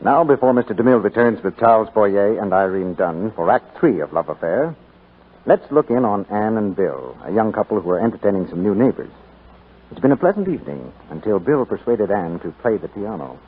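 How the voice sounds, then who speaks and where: A male radio announcer of the 1940s with a lowish, slightly rough voice and very lively pitch. He sells with polish and persuasion over an old narrow-band broadcast. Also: a little fast. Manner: polished, persuasive sales delivery.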